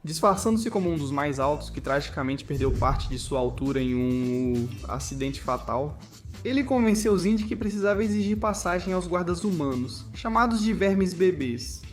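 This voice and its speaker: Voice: medium pitch, expressive, moderate pace. A Brazilian narrator reading a scripted cartoon video essay. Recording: music behind the voice. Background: background music.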